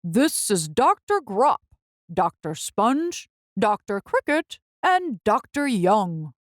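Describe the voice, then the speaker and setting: A woman's voice: medium pitch, sing-song, slow pace, no room sound, studio quality. A woman voicing a pompous, thorough male doctor from a children's storybook. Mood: formal.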